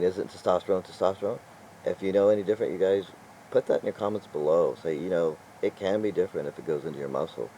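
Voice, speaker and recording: An older man with a low, mellow voice chats loosely to the camera. He talks in an exasperated, meandering way, chuckling and trailing off between thoughts. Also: lo-fi sound, a little hiss or hum. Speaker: vlogger. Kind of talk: casual rambling vlog talk.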